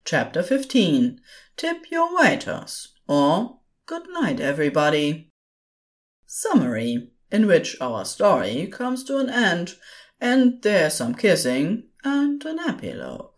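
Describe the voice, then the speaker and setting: A woman narrating scripted fan fiction as an expressive storyteller. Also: fairly low pitch, slow.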